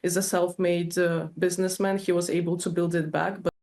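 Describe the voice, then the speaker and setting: A woman's voice: on the low side, little pitch movement, a little fast, darkish tone. A young woman in her twenties from Ukraine, earnestly explaining her views as an interview guest.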